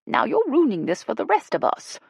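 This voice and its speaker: Woman putting on a bossy, excitable woman, swooping pitch. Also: fairly quick, creaky, husky, no room sound, clean studio sound, heavily acted. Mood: firm scolding. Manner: snappy, emphatic. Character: bossy, excitable woman scolding her friends. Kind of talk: audiobook character acting.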